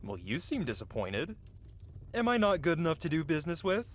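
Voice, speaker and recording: A man's voice: fairly high pitch, wildly swooping pitch, a little fast. A man playing a cocky, flirtatious medieval blacksmith in a fantasy ASMR roleplay, with a playful, teasing and theatrical way of talking. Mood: flirty, playful.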